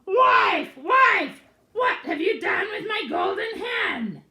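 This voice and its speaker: A woman voicing a huge, greedy male ogre who roars and shouts his commands, reading a storybook aloud. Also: a little high, animated, slow, gravelly, roomy sound, pitched above the performer's own voice, exaggerated voice. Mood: bellowing.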